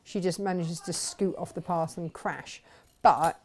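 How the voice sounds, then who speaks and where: A woman's voice: lowish voice, steady tone, measured pace, slightly rough. Chatty woman, laughing often. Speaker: co-host. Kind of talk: casual chat on a crafting show.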